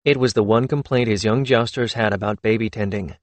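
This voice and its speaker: A man narrating a fantasy audiobook in a measured, even storytelling manner, close to the microphone. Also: on the low side, dry recording.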